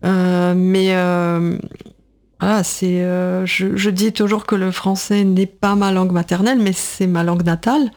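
A woman's voice: on the low side, steady tone, measured pace, a little mellow. A woman in her sixties, a writer and translator, speaks as a guest in a radio interview, thinking aloud with many fillers and restarts. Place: radio studio.

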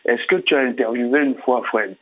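A man calling in by telephone to a radio show, asking a question haltingly with many hesitations. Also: mid-range voice, rough recording. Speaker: caller.

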